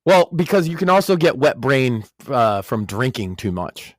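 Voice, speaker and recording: A man's voice: mid-range voice, sing-song, moderate pace, no echo, studio quality. A man from Massachusetts with a light Northeastern accent, co-hosting a casual podcast and joking profanely. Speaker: podcast co-host. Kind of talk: casual chat.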